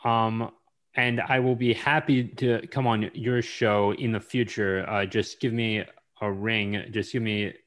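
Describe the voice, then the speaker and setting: A man hosting an interview podcast, who talks in a halting way, full of ums and uhs. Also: lowish voice, fairly even intonation, fairly bright tone. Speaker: podcast host. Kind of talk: casual interview chat.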